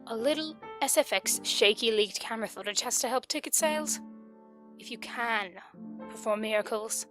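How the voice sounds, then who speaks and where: Scathing female skeptic, played by a woman, fairly high voice, articulate, accusatory, sweary. Fan-dub drama with clear music underneath. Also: moderate pace, lightly acted. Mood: angry, defiant. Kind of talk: amateur fandub voice acting. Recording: music behind the voice.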